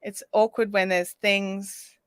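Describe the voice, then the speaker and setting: A woman hosting a craft livestream, chatting casually and reading out viewer comments while she crochets, her voice slightly raspy. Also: mid-range voice, level tone, takes it slowly, no room sound, clean studio sound. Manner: chatty, reads viewer comments aloud, counts stitches under her breath. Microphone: desk microphone.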